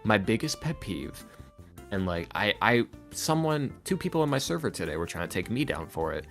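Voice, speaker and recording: A man's voice: on the low side, big pitch swings, normal speed, fairly bright tone, faint music behind. A man talking casually as a podcast guest, emphatic and rambling, with slangy phrasing and acted-out quotes. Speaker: podcast guest, ASMR roleplay creator. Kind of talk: casual, opinionated interview talk.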